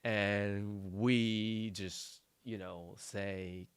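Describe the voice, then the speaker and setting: A man with a Japanese accent chatting casually as a podcast host, enthusiastic, in halting, repetitive non-native English. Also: on the low side, fairly even intonation, smooth, dry recording. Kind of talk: casual chat.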